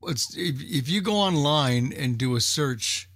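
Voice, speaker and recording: A man talks casually in a group chat, with a middle-pitched voice and a dry sound free of room echo. He explains things in an informative way, piece by piece. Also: animated, unhurried, a bit bright.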